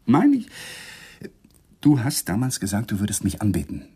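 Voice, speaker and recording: Ein Schauspieler spielt in einem deutschen Krimihörspiel einen gereizten, innerlich zerrissenen Ehemann, theatralisch zwischen beherrscht und heftig. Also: male voice, fairly low pitch, wildly swooping pitch, moderate pace, warm tone, full character voice. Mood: bitter, vehement. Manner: theatrical, swinging from controlled to vehement. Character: exasperated, emotionally torn husband demanding a divorce. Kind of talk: German radio crime drama acting. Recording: 1980s radio play with clear noise.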